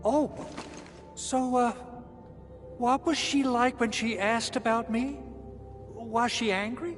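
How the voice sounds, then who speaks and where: High-pitched, excitable male engineer. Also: takes it slowly, in a large hall, faint music behind. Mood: nervous dread. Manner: dramatic, flustered, effusive. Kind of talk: video game voice acting.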